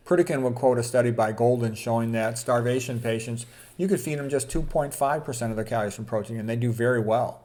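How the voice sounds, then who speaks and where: Man, mid-pitched voice, informal medical lecture, blunt and opinionated, colloquial asides, reads quotes aloud. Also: darkish tone. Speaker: doctor presenting a health lecture.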